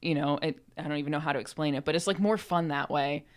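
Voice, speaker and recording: Woman, low pitch, podcast co-host, casual conversational delivery, on microphone. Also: quick pace.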